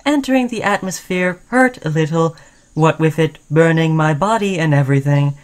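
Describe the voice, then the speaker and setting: A woman's voice: deep voice, normal speed, natural voice. Woman playing a caring, devoted robot companion. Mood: fond, earnest. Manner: chatty, soothing, addressing listener as human friend. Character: friendly, caring, obsessively devoted sentient robot crewmate. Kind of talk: scripted ASMR roleplay addressed to the listener.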